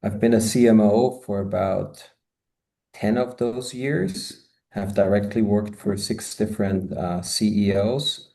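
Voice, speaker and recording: Mellow-voiced Austrian-born man on a video call. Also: lowish voice, steady tone, slow pace, vocal fry. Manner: expansive, rambling with many "you know" asides. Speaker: guest, marketing consultant. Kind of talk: conversational video-call interview. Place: home office. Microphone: video call, webcam.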